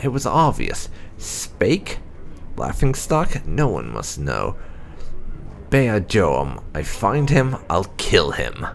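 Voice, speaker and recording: A man's voice: sits low, huge pitch swings, takes it slowly, gravelly, slight background noise, full character voice. Man playing a vain obsessive enchanter, swooping delivery. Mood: bitter outrage. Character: vain, obsessive enchanter scholar, gloating and furious.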